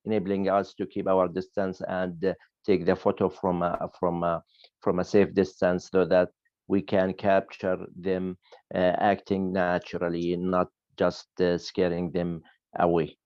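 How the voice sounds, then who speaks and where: A man speaking hesitantly on an online panel as a photographer, with many filled pauses. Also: low voice, monotone, a little mellow, no echo. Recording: dry, dull, lo-fi sound.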